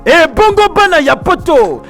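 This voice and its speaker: A man's voice: very high-pitched, big pitch swings, a little slow, a bit bright, soft background music. A man, delivering a hyped, sing-song advertisement. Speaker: ad announcer. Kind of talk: radio-style advertisement.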